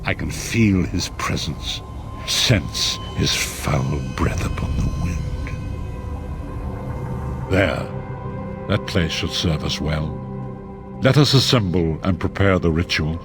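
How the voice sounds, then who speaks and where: A man's voice: sits low, expressive, takes it slowly, creaky, long pauses, music in the background, heavily acted. An actor playing a wise, solemn male prophet and leader in a fantasy game, grave and exhorting, like an orator. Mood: foreboding.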